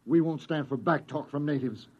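An actor in an old radio drama playing a gruff, domineering colonist chief who interrogates curtly, on an old recording. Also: male voice, on the high side, normal speed, lo-fi sound, natural voice. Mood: impatient. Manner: curt, threatening, interrogating.

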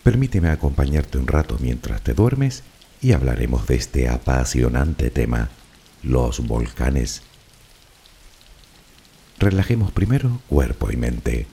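A man with a Canarian Spanish accent narrates a sleep relaxation in a very deep, mellow voice. His tone has a slightly breathy, husky edge. The delivery is soft and unhurried, meant to lull the listener. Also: expressive. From Canary Islands. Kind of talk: slow guided relaxation narration.

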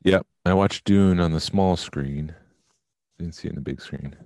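A man co-hosting a podcast, chatting casually and thinking out loud about films. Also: low voice, moderate pace, no room sound, studio quality. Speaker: podcast co-host.

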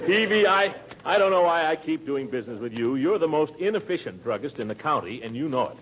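An actor playing a pompous, impatient middle-aged town official in a 1940s radio comedy, blustering with exasperation. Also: male voice, on the high side, animated, quick pace, natural voice. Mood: exasperated. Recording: muffled, noisy vintage radio recording.

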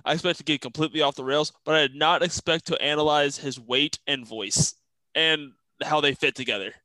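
A man's voice: fairly high pitch, steady tone, fairly quick, sharp tone, no echo, low-quality recording. A man co-hosting a casual podcast, amused and chatty. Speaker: podcast co-host.

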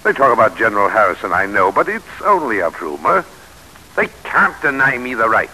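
A man's voice: on the low side, very animated, brisk, bright, forceful tone, breathy edge, full character voice, poor audio. An actor playing a proud, jovial, ambitious statesman in an expansive, oratorical 1940s radio drama style, on a hissy old recording. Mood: defiant, confident.

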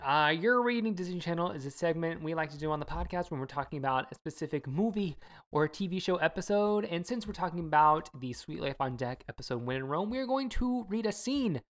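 A woman's voice: low-pitched, fairly quick. A woman co-hosting a podcast in casual, opinionated chat with plenty of fillers. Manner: relaxed, opinionated, many fillers. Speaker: podcast co-host.

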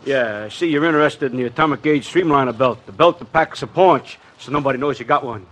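A man's voice: medium pitch, quick pace, fairly bright tone, natural voice. An actor playing a slangy, coaxing American department-store salesman in a 1950s radio comedy, punctuating the patter with uhs. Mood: coaxing, confidential.

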